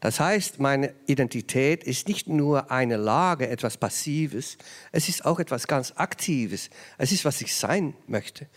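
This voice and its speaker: A man's voice: medium pitch, animated, measured pace. A man from Flanders, a native Dutch speaker with a Flemish accent, answering as an author on a panel and often searching for his words in German. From Belgium, Flanders (Ghent). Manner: searching for words in German, frequent restarts and fillers.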